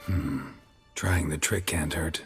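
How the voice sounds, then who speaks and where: An actor playing a grizzled monster hunter who talks in terse, clipped, matter-of-fact sentences. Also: male voice, a bass voice, fairly even intonation, slow pace, soft background music, full character voice. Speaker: game protagonist.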